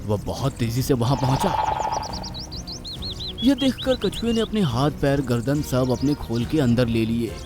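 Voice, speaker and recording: Animated male children's storyteller over music. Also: medium pitch, a little slow. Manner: expressive, animated. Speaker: storyteller, narrator. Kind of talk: scripted children's story narration. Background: background music.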